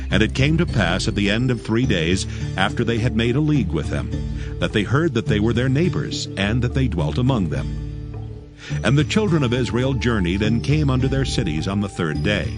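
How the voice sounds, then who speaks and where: A man narrates scripture in a formal, measured way. His voice sits on the low side, and music plays clearly underneath the narration. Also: big pitch swings.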